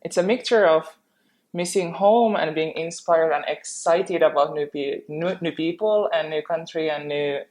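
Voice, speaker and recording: A woman's voice: deep voice, moderate pace, darkish tone. A woman from Finland with a Finnish accent, casually explaining and chatting as a podcast co-host.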